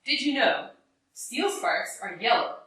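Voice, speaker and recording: A woman's voice: on the high side, very animated, unhurried, fairly bright tone. A woman in her thirties reading a children's book aloud in a workshop. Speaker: robot combat team member. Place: robot workshop, indoors. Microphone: distant camera. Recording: very echoey workshop, distant mic.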